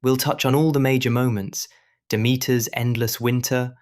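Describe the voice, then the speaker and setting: A man's voice: mid-range voice, steady tone, moderate pace, close to an everyday voice. A man plays an ambitious, celebrated painter in a Victorian audio drama. His voice sits in a middle register. He speaks articulately, with the assurance of someone presenting his great work. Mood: enthusiastic. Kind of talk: period audio drama acting.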